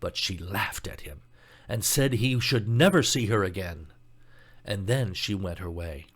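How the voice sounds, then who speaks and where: A man's voice: low-pitched, a little slow. A man reading a scripted fairy tale as a storybook narrator, telling it expressively.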